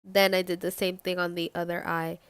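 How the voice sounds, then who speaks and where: A woman's voice: fairly low pitch, flat delivery, fairly quick, a bit bright, clear, resonant tone, no room sound. A young American woman who is sick, giving a casual, matter-of-fact and apologetic makeup tutorial voiceover. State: sick with a cold. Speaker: makeup vlogger.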